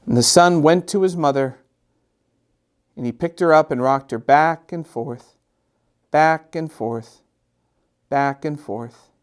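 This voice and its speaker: A middle-aged man reads a picture book to children expressively, drawing out repeated phrases with gentle, dramatic emphasis. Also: fairly high pitch, smooth. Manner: gentle, drawn-out, sing-song repetitions with dramatic emphasis. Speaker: storytime host and children's author.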